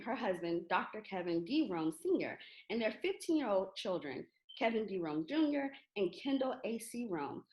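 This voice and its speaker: A woman's voice: medium pitch, rough recording. A woman hosting a children's reading event, reading out introductions and putting questions to a guest. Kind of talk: scripted introduction and interview.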